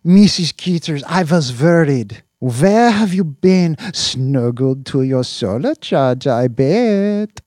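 Shrill, lively, fussy male bureaucrat, as a male narrator puts him on; dry close sound. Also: takes it slowly, hardly any pauses, dry recording. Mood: cooing. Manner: high, shrill, wheedling and cooing. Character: fussy petty male official, Slope.

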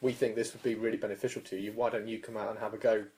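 A man's voice: fairly low pitch, level tone, rapid-fire, darkish tone. A British man in his forties hosting a podcast, chatting casually and thinking aloud. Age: 40s. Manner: thinking aloud, tripping over numbers. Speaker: podcast host. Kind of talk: casual chat.

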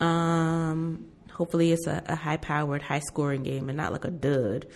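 A woman's voice: low voice, level tone, normal speed. An American woman co-hosting a podcast in casual conversation, with informal, slangy phrasing. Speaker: podcast co-host. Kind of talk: casual chat.

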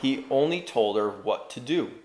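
A man voicing a glum, steady marsh creature. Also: mid-range voice, normal speed, reverberant, close to an everyday voice.

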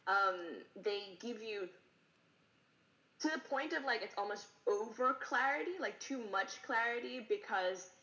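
A woman chatting casually on a call, agreeable and quick to chime in. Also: fairly high pitch, pushed and bright. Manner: agreeable, chimes in with yeahs and teasing. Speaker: guest on a call. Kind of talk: casual chat. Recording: echoey room.

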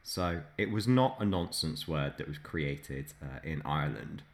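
A man with a very deep voice co-hosts a relaxed podcast. He teases his co-host dryly and slips into lecturing trivia asides. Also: unhurried. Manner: dry teasing, lecturing asides. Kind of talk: casual podcast chat with history trivia.

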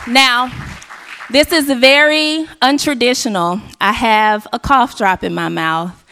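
A woman from Arkansas, her voice hoarse and worn, hosts a live event on a stage mic. She rallies the audience with energy and call and response, her voice sitting a little high. Faint crowd sounds carry through the hall. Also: takes it slowly, pushed and bright. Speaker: event emcee. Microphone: handheld stage mic.

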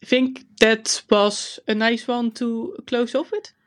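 A woman with a Dutch accent co-hosting a casual podcast, telling a story in a relaxed, self-conscious way with frequent laughs. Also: fairly high pitch, takes it slowly, clear, resonant tone. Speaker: podcast co-host. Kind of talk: casual chat.

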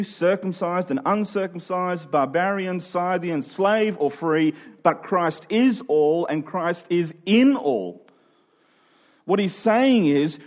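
Man, preacher, fairly high pitch, conversational sermon delivery, earnest, informal, emphatic on key phrases.

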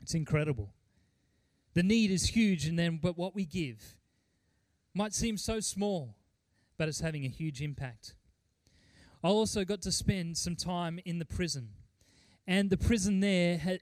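A middle-aged man preaching as a pastor, speaking earnestly and emphatically, with deliberate pauses and repeated phrases. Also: sits high, darkish tone, no room sound. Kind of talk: sermon with mission report and scripture reading.

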